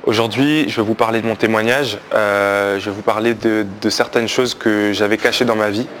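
A man in his twenties with a fairly low voice tells his own story without a script. He speaks haltingly and searches for words. Some background noise sits faintly behind him. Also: steady tone, fairly bright tone. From Belgium. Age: 20s. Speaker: guest giving a personal testimony. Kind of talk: unscripted personal testimony.

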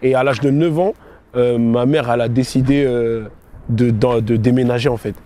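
A man's voice: medium pitch, fairly even intonation, normal speed, dark tone, slight background noise. A young man tells his own story casually, in a slangy, conversational manner full of check-in tags. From France (Paris area) and England (London). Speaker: storyteller recounting own life. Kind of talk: casual autobiographical storytelling to camera.

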